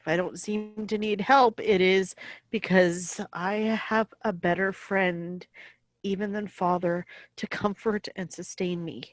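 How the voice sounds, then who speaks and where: Woman doing a kind wise mom in an audio drama, measured and earnest, real dry recording. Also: medium pitch, steady tone, dry recording, natural voice. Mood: earnest, loving. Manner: tender, earnest, measured counsel. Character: gentle, wise middle-aged mother, patient and devout. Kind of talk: audio play acting.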